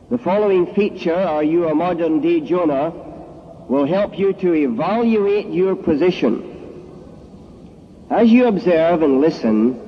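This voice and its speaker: A man making a formal scripted announcement to introduce a drama, deliberate and solemn. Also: a little high. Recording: long hall reverb, dull old tape.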